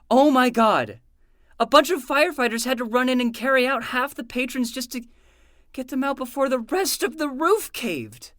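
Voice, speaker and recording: Casting: a woman as a harried, sarcastic librarian man for audio drama, swinging pitch, close dry sound. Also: fairly bright tone, dry recording. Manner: exasperated, sarcastic, ranting. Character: exasperated, sarcastic male librarian, grown adult. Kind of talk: fiction podcast acting.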